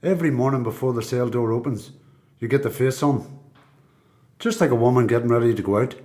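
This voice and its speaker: A man's voice: medium pitch, fairly quick, warm tone, natural voice. A man with a Belfast accent playing a cynical, defiant prisoner in a radio play, blunt, colloquial and sweary. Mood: wry, guarded. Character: cynical, defiant male prisoner, streetwise and distrustful.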